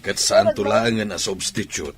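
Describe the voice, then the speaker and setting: A man's voice: on the low side, normal speed, close to an everyday voice. A man playing an authoritative, encouraging basketball mentor in an Ilocano radio drama. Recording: noisy background ambience.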